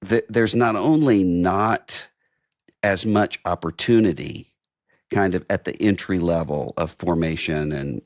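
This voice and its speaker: A middle-aged man hosting a reflective interview, speaking in a halting, thoughtful way as he builds long, considered questions. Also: low voice, no echo, studio quality. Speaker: interviewer, podcast host.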